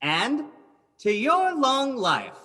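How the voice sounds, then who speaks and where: A man's voice: very high-pitched, wildly swooping pitch, extremely slow, sharp tone, exaggerated voice. A man playing a scheming nobleman who feigns concern for his friend, theatrical and coaxing, in a period stage drama. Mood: smoothly coaxing. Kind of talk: theatrical period drama acting over video call. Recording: home video call recording with music.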